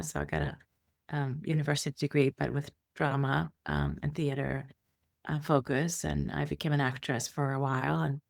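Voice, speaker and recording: A woman's voice: deep voice, creaky, no room sound, studio quality. A middle-aged woman from Iceland, a guest telling her life story in a rambling way with many fillers and restarts. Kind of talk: conversational interview storytelling.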